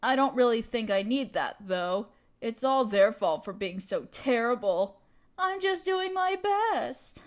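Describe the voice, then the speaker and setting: A woman's voice: on the high side, animated, measured pace. A woman playing a spoiled, haughty evil queen in a scripted audio roleplay, complaining pompously and theatrically to her servant. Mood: petulant, self-pitying. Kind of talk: scripted roleplay monologue to the listener.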